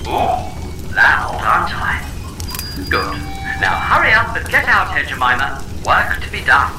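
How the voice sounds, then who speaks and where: A woman's voice: sits low, very animated, a little slow, music in the background. A woman playing a cheeky, vain talking engineering pod in a comedic audio drama, flippant and playful. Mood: eager. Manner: flippant, attention-seeking, playful.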